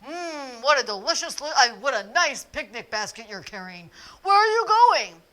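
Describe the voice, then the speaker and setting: A woman's voice: sits high, big pitch swings, pushed and bright, heavily acted. A woman voicing a sly, sweet-talking male big bad wolf in a told fairy tale. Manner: slow, sly and mock-sweet.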